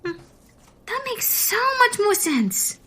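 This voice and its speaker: A woman playing a blunt, deadpan policewoman in a comic audio drama. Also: very high-pitched, takes it slowly, full character voice. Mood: dry, blunt.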